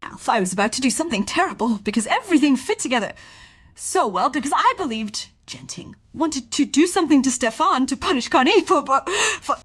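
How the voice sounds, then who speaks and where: An actress playing a wronged, accusing wife in a crime radio play, speaking in a halting, bitter, insistent way. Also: female voice, sits high, animated, slightly rough, no room sound. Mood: accusing, anguished. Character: wronged, accusing wife confronting a treacherous family friend.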